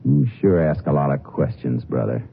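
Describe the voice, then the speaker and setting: An American actor in a 1950s radio drama, playing a terse Texas Ranger who poses as a tough jailbird. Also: male voice, rumbling low, moderate pace, vocal fry, slight background noise. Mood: wary, gruff. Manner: terse, hard-boiled. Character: Texas Ranger posing as surly tough jailed crook. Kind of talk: 1950s radio acting and first-person narration. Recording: muffled vintage 1950s radio recording, music.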